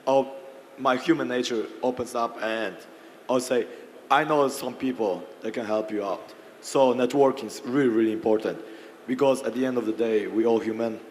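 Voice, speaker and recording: A hoarse man losing his voice, clearing his throat often as he speaks as a panelist. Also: mid-range voice, normal speed, a little mellow. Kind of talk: panel discussion. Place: conference hall. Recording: reverberant hall with faint noise.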